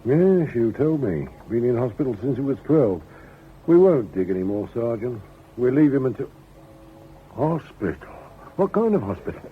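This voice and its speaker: An actor playing a dogged, brusque police inspector in a radio crime drama, clipped and probing in manner. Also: male voice, mid-range voice, very animated, moderate pace, slightly rough. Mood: probing, exasperated. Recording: muffled radio drama recording, faint noise.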